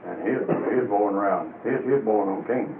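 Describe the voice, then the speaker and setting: A man's voice: lowish voice, fast talker, gravelly, echoey room. Older Kentucky man on lo-fi tape. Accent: Eastern Kentucky. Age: 70s. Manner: plain, brief answers in rural dialect. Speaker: interviewee. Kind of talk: casual recollection. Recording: tinny lo-fi home tape with hiss.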